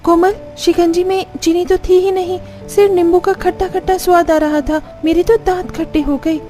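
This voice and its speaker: A woman's voice: very high voice, steady tone, normal speed, warm tone, faint music behind. A woman voicing a young daughter-in-law in a cartoon story, outwardly polite to her elders and given to grumbling and muttered complaints. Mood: dismayed complaining. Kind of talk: Hindi cartoon story acting.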